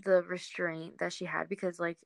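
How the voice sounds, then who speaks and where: A woman's voice: fairly low pitch, level tone, normal speed, vocal fry, dry recording. A young woman hosting a podcast, steering a casual chat about television in an informal, slangy, agreeable way. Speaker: podcast host guiding the discussion. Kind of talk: casual TV discussion.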